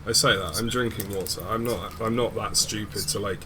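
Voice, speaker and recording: A man's voice: fairly low pitch, a little fast, background noise. Laid-back young man streaming. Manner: laid-back, chatty, swears casually. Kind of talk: casual gaming stream chat.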